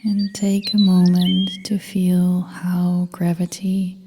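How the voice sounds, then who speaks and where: A woman guiding a meditation as a yoga nidra instructor, speaking in a soft, breathy half-whisper with a calm, gentle, soothing manner. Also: fairly low pitch, slow pace. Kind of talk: guided meditation narration. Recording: long reverb, faint noise with birdsong.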